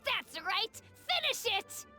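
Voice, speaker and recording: A woman's voice: very high-pitched, big pitch swings, slow pace, bright, forceful tone, soft background music, heavily acted. A woman playing a plucky, confident little child in a video game, boastful and gleeful.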